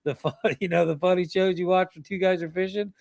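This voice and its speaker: Middle-aged man, raised pitch, dry recording, casual chat, chuckles often. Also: steady tone, a little fast, gravelly, a little mellow, no room sound. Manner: rambling storyteller, chuckles often. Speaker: channel host.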